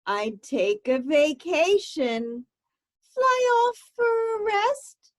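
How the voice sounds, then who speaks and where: A woman voicing a lazy, bored female bird from a children's storybook, complaining in a drawn-out, theatrical whine. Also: very high-pitched, very animated, full character voice. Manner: complaining, theatrical, stretching out words. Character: lazy, bored, whiny female bird, weary of sitting on her egg. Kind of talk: children's storybook read-aloud.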